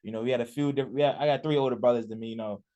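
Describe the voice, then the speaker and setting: A man's voice: mid-range voice, steady tone, very fast, warm tone, muffled recording. A young man in his early twenties from Richmond, Virginia, a college athlete answering a radio interviewer in a casual, rambling way with many fillers and restarts. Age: 20s.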